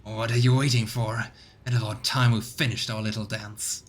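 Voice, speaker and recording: A man's voice: fairly low pitch, flat delivery, normal speed, natural voice. A man voicing a proud, mocking alien warrior from a game in a taunting manner. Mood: mocking defiance. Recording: clear background noise.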